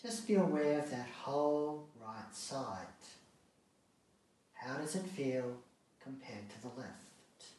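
A man guiding a relaxation practice as a yoga instructor, speaking calmly and soothingly in short phrases with long pauses. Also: mid-range voice, a little hiss or hum. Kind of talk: guided meditation, calm step-by-step instruction. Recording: very echoey room, distant mic.

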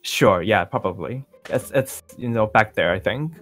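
A man playing a self-assured young male pop singer in an improvised Minecraft roleplay, talking in a halting, rambling, nonchalant way. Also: lowish voice, lively intonation, fairly bright tone. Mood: casual, encouraging. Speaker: roleplay performer. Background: music.

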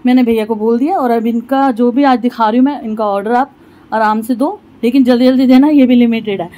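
A woman's voice: fairly high pitch, fairly quick, mellow. A woman vlogging casually from a fabric shop in a market, chatting to her viewers and calling them guys. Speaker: shopping vlogger. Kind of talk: casual shopping vlog.

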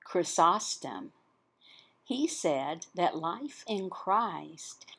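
A woman voicing an interpreted sermon as explanatory teaching, in short, halting phrases with pauses between them. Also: mid-range voice, slightly rough.

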